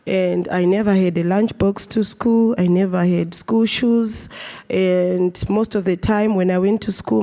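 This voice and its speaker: A woman's voice: on the low side, mellow. A woman from Zimbabwe giving an earnest personal talk on stage at a live event, often restarting her sentences. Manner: earnest, with frequent restarts and fillers. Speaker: speaker at a live storytelling event.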